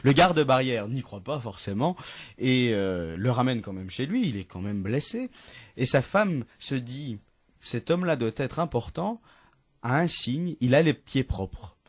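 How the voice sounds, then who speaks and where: A young man presents the anecdote of the week on student radio, his voice sitting at an ordinary middle pitch. He tells it casually from the studio, stumbling over restarts and fillers and chuckling at his own jokes. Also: big pitch swings, a little slow. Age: young adult. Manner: chatty, many hesitations and restarts, laughs at own jokes.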